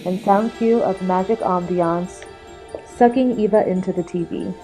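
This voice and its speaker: A woman's voice: on the low side, normal speed, mellow, faint music behind, low-quality recording. A woman narrating scripted stage directions for a radio play.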